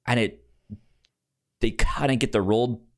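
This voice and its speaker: A man co-hosting a podcast, chatting casually about television in a halting way, often stammering over the starts of words. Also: mid-range voice, steady tone, a little mellow. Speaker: podcast co-host. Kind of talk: casual chat discussing a TV series.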